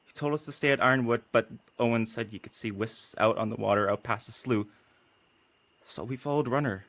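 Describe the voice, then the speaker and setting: A man's voice: mid-range voice, brisk, a bit bright, no room sound, lightly acted. Male actor as a curious, frightened boy, dry sound. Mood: scared, confessing. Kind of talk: audio drama acting.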